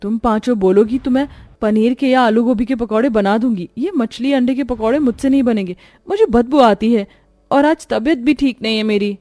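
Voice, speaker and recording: A woman's voice: a little high, moderate pace, warm tone, no room sound, voice pushed up, natural voice. A woman voicing a meek, overworked young wife who complains wearily about her in-laws. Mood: weary. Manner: pleading, weary complaining.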